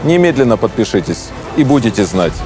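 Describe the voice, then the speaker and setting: A man's voice: medium pitch, very animated, brisk. A man in a mid-range voice speaks declamatory promotional lines from a city street. Faint music plays behind him. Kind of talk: channel promo announcement.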